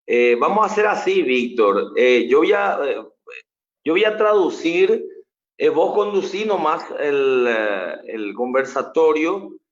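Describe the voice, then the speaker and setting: A man interpreting live, in a quick and informal manner. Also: on the high side, animated, muffled recording.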